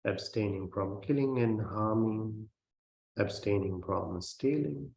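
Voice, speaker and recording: A man who is a Buddhist meditation teacher, guiding a meditation in a gentle, measured way with long pauses between phrases. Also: on the low side, gravelly. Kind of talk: guided meditation. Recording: roomy, reverberant sound.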